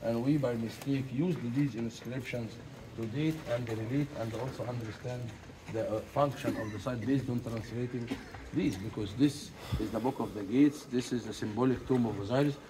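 Male guide lecturing on site, mid-pitched, far from the mic with clear background noise. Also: normal speed. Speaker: tour guide. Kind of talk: explanatory on-site guiding to a group. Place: echoey ancient stone site. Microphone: picked up from a distance by the host's camera. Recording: echoey, noisy, distant from the mic.

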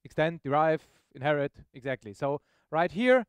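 A man's voice: on the high side, big pitch swings, moderate pace, smooth, no echo. A man giving an informal, explanatory live technical demo to an audience, often checking in with questions. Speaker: software presenter. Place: meetup presentation room, on stage.

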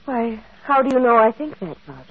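Young secretary, polite, earnest, old radio drama, lo-fi. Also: female voice, a little high, big pitch swings, a little fast, breathy edge. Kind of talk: 1940s radio drama acting. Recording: muffled old radio broadcast.

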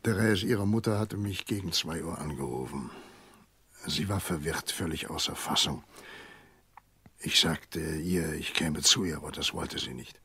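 An actor playing a grave, kindly elderly family doctor in a radio play, speaking in a measured, husky voice. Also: male voice, very deep voice, bright, forceful tone, no room sound, full character voice. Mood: gentle, serious. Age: older. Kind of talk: German radio play acting.

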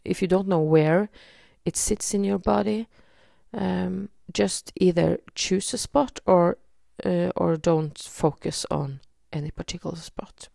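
A woman from Norway with a Norwegian accent, leading a guided energy healing session in a slow, soothing way with many pauses and fillers. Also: on the low side, steady tone, gravelly, warm tone, no room sound, clean studio sound. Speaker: energy healer.

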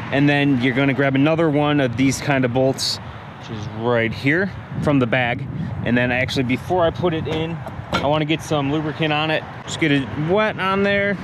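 A man giving a casual hands-on how-to, thinking aloud informally as he works, recorded outdoors on an action camera. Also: fairly high pitch. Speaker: DIY how-to video host. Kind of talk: casual hands-on tutorial narration. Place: outdoors beside a vehicle in daylight. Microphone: action camera held or mounted near him. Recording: clear outdoor background noise on action camera.

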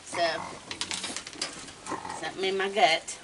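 A woman in her fifties chatting casually on a night-time deck, telling a story slowly with many pauses and softly greeting animals between sentences. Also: medium pitch, little pitch movement. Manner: slow, halting storytelling with many ums, breaking off to coo softly at animals. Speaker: wildlife feeding livestream host. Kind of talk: casual chat while feeding raccoons. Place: outdoor wooden deck at night. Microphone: fixed camera from a few meters away. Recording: distant voice with clear background noise outdoors.

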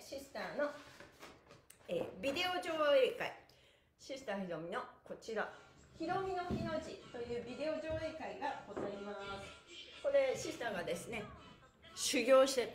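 High-pitched, lively middle-aged woman livestreamer. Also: normal speed, roomy sound, soft background music. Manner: bubbly, playful, often giggling, addressing viewers. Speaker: pantomime teacher and livestream host. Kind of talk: chatty live-stream exercise class and announcements. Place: indoor room with bare walls and exercise mat.

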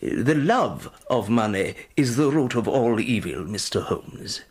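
A man's voice: mid-range voice, big pitch swings, measured pace, breathy edge, exaggerated voice. An English actor playing a prim, cautious male house agent in a radio drama, speaking hesitantly. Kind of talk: 1990s radio drama acting.

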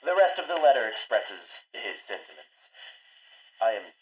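A man's voice: on the low side, big pitch swings. A man playing a ceremonious, fussy household butler in an old-time radio melodrama. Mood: reading solemnly. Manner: ceremonious, deliberate delivery. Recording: dull, band-limited old-time radio sound.

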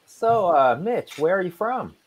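An actor playing a cheerful, easygoing restaurant owner in a sitcom table read over a video call, chatty and enthusiastic. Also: male voice, high-pitched, sing-song, a little slow, breathy edge, full character voice.

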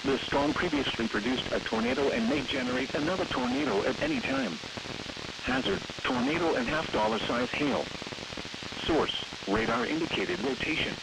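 A synthetic American male voice reading scripted emergency weather bulletins in a measured, impersonal manner over the radio. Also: mid-range voice, sing-song, gravelly. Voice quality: synthetic text-to-speech voice. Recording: hissy, staticky weather radio broadcast.

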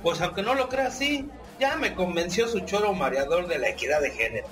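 An actor playing a cheeky, teasing Mexican grandson in a radio soap, full of slang. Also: male voice, sits high, animated, a little fast, crowd noise. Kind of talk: radio soap opera acting.

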